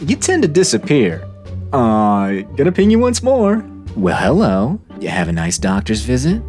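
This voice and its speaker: An eager, clingy male chatter, acted out by a man in a dramatized message reading. His middle-pitched voice leaps around animatedly as he wheedles and apologizes, over faint music. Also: huge pitch swings, moderate pace, darkish tone. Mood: eager, pushy.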